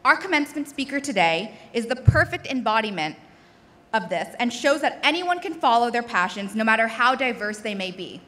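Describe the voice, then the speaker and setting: A woman's voice: on the high side, big pitch swings, brisk, a bit bright. A young woman in her late teens reading a formal, scripted graduation speech at a podium. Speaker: graduating student introducing a speaker. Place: large auditorium stage. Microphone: podium microphone. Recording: echoey auditorium.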